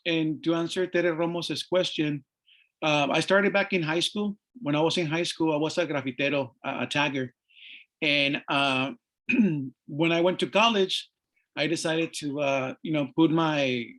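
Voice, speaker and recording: A middle-aged man who grew up in Mexico speaks at an arts panel, his voice sitting a little high for a man. He talks haltingly, stopping often for fillers and restarting his sentences as he recalls how he began. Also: a bit bright. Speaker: panelist, artist. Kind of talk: panel discussion, personal story.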